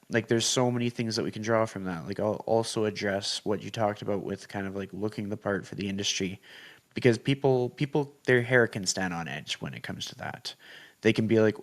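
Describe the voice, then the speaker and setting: A man's voice: on the low side, a bit bright. A young man hosting a podcast, chatting enthusiastically and peppering his talk with "like". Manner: enthusiastic, uses "like" a lot. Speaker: podcast host. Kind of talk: casual interview chat.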